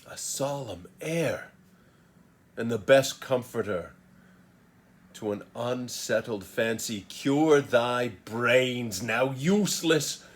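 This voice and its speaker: A commanding male magician acted by a man declaims Shakespearean verse. He is solemn and grand, a wronged figure of great power. Each phrase comes slowly and deliberately in a mid-range voice. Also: mid-range voice, natural voice. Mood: solemn, stern. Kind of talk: Shakespearean stage soliloquy.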